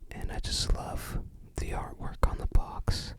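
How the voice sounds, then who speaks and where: An ASMR host narrating an unboxing in a breathy, unvoiced whisper, with gentle, rambling commentary. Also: unhurried, no room sound.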